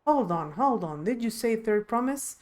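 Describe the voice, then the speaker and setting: A woman's voice: on the high side, animated, normal speed, a little mellow, natural voice. A woman voicing a proud, hard-bargaining saddle merchant in a fantasy game, speaking in a firm, businesslike way. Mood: firm, haggling.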